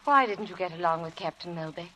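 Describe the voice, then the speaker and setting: Earnest, anxious young wife. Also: female voice, lowish voice, lively intonation, quick pace, bright, forceful tone, a little hiss or hum, low-quality recording. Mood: tender, probing. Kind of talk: 1940s radio drama acting. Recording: old 1940s radio broadcast.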